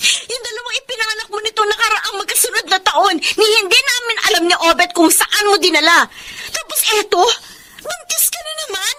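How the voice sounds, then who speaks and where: A noisy radio drama track carries a dutiful young eldest daughter. Her very high voice moves animatedly, earnest and reproachful in manner. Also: lively intonation, a little fast. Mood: upset, reproachful. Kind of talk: Tagalog radio drama acting.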